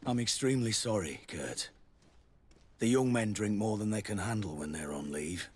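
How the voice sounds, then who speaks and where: Husky, worldly army quartermaster. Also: male voice, fairly low pitch, animated, normal speed, darkish tone. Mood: apologetic. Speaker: military quartermaster. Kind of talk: video game voice acting.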